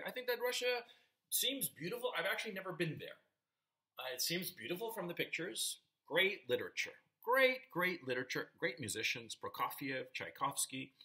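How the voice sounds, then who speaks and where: A man in his fifties from Chile, talking casually and emphatically to camera in a small room at home through a webcam mic. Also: on the high side. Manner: animated, gesturing with hands, emphatic. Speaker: vlogger. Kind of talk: casual opinion monologue to camera.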